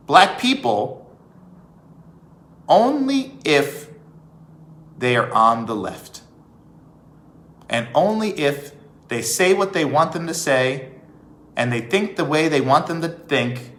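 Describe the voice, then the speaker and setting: A man giving an emphatic opinion monologue as a vlog host, with rhetorical questions and deliberate pauses. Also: fairly high pitch, a bit bright. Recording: echoey room.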